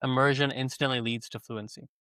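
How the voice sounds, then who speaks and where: A man's voice: mid-range voice, fairly even intonation, a little fast, a bit bright, no room sound, clean studio sound. A man speaking as a guest in a podcast interview, in an easygoing, loose conversational manner. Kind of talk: casual interview chat.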